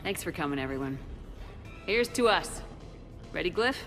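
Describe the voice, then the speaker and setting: A woman's voice: fairly low pitch, animated, normal speed, a bit bright, in a large hall, soft background music. An actress playing a friendly, take-charge female military commander in a video game, upbeat and directing. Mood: cheerful.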